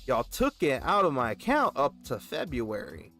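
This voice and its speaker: Young man in his twenties, gaming host, rambling and animated, mid-pitched, faint music underneath. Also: moderate pace. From Texas. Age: 20s. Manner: animated, complaining storyteller. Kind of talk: casual rambling chat while gaming.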